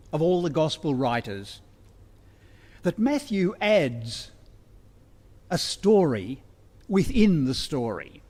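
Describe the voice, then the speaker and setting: A man preaching a sermon as a minister, deliberate and pausing between phrases. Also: a little high, a little mellow. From Australia. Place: church, livestreamed service. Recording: long hall reverberation.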